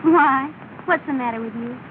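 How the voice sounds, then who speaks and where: A tinny, hissy early talkie soundtrack carries a woman acting a poised young society lady. Her voice is high and her delivery is kindly and lightly teasing, in 1930s screen style. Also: normal speed, natural voice. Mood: light, evasive. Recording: tinny, noisy 1930 film soundtrack.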